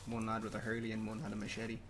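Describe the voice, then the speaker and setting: A man's voice: lowish voice, completely flat delivery, fast, a bit bright, slight background noise, lightly acted. An actor playing a grieving, withdrawn young Irish father in a radio play, with a subdued, dejected delivery. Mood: distracted, fixated.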